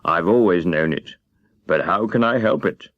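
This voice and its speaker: Storybook cowardly lion, male reader acting: big beast, hangdog and sheepish, low pitch, slightly muffled recording. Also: moderate pace, no room sound. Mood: ashamed. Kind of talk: children's storybook character reading.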